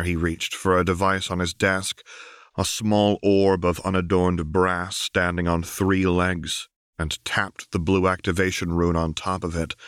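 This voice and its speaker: A man with a low voice narrates a dramatic piece of fiction. The sound is close and dry, in the style of a scripted audiobook reading. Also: fairly bright tone, no echo.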